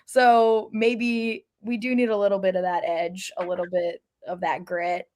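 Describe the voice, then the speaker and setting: A woman's voice: mid-range voice, little pitch movement, a little slow, a little mellow. An American woman talking casually as co-host of a sports podcast.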